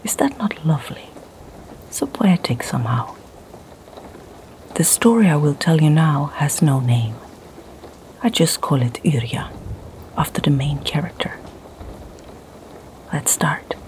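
A middle-aged woman from Sweden with a Swedish accent, whispering ASMR stories softly and slowly in her home library. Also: low-pitched, expressive, a little hiss or hum. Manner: soft, slow whisper. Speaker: ASMR creator and storyteller.

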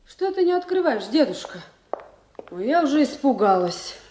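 High-pitched, bubbly young granddaughter. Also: female voice, very animated, moderate pace, warm tone, a little hiss or hum. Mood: excited, chatty. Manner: chatty, fond, bubbly. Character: lively, affectionate young granddaughter, a student. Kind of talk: Soviet radio drama acting. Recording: echoey radio studio recording.

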